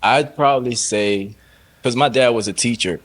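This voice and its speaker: A man's voice: medium pitch, moderate pace, fairly bright tone. A man from Haiti, a DJ guest, talking in an easygoing way with short answers and lots of "you know" and "man". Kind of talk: casual interview chat.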